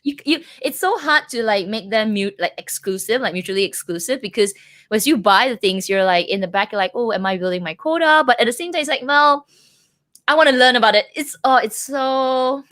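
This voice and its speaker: A woman's voice: fairly high pitch, lively intonation, a little fast, a bit bright, no echo, studio quality. A woman hosting a casual livestream chat from Malaysia, talking with many likes and restarts and laughing often. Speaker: livestream host.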